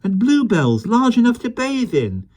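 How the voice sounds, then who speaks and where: A man reading a storybook aloud, voicing an excited small boy who exclaims breathlessly. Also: very high-pitched, measured pace, voice pushed up, heavily acted.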